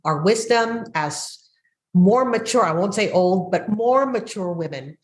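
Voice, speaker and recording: A woman's voice: medium pitch, lively intonation, a little slow. A woman in her sixties from the Philippines, hosting a podcast and speaking earnestly and reflectively. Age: 60s. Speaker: podcast host. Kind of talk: casual podcast conversation.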